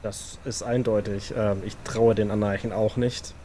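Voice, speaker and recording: A man's voice: lowish voice, little pitch movement, normal speed, warm tone, natural voice. Man playing a wary vampire, faint background noise. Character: wary male vampire, cautious and distrustful. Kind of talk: improvised tabletop role-play audio drama.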